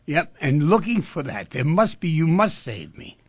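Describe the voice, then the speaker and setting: A man hosting a talk radio show, talking in an animated way and asking rhetorical questions. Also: on the high side, moderate pace, breathy edge, dry recording.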